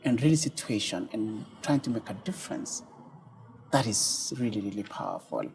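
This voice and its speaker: A middle-aged man from Kenya hosting a television studio interview, asking quick, earnest questions into a lapel mic. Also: on the low side, expressive, vocal fry, a little mellow, a little hiss or hum. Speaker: talk show host.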